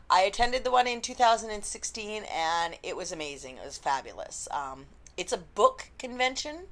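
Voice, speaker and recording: A woman, an indie romance author, chatting unscripted to camera with a slightly husky voice and lots of ums. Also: medium pitch, a bit bright. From Canada. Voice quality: slightly husky after illness. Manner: chatty, rambling, frequent ums. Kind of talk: unscripted vlog chat.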